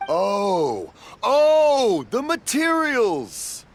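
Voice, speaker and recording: A man's voice: high voice, huge pitch swings, heavy background noise, full character voice. Cheerful, rambling old father, wildly swooping. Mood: puzzled. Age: older. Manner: slow, rambling, drawn-out. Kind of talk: animated video game voice acting. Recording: music behind.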